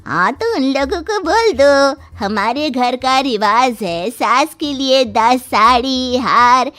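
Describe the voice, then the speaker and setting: A woman playing haughty cartoon mother-in-law, high emphatic voice, demanding, scolding, faint music behind. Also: lively intonation, slow, a bit bright, exaggerated voice. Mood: imperious.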